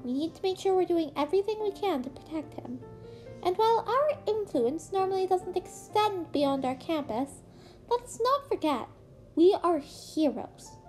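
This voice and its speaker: A woman's voice: extremely high pitch, expressive, moderate pace, darkish tone, soft background music, voice pushed up. A woman voicing a small animal school principal in a story, protective and commanding, with emphatic, formal delivery. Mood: fiercely protective.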